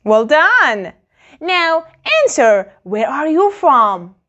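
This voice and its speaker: A woman teaching a beginner English lesson, modelling phrases very slowly and clearly for learners to repeat. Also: high voice, big pitch swings, a bit bright, lo-fi sound.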